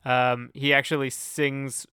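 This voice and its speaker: A man's voice: medium pitch, little pitch movement, slow, sharp tone, smooth, no room sound, studio quality. A man co-hosting a podcast, chatting casually about music and explaining things in a loose, hesitant way. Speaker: podcast co-host. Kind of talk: casual music discussion chat.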